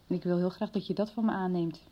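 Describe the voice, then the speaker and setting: A woman's voice: lowish voice, fairly even intonation, quick pace, warm tone, a little hiss or hum, lightly acted. A woman acting a frank, concerned confidante in a Dutch radio soap. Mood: friendly, reassuring. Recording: dull 1990s radio broadcast recording.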